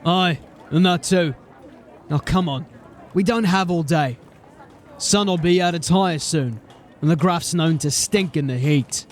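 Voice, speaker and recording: An actor playing a gruff, shrewd city watch sergeant in a fantasy audio drama, probing and matter-of-fact. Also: male voice, a little high, unhurried, pauses often, a little hiss or hum, natural voice. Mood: wry, matter-of-fact.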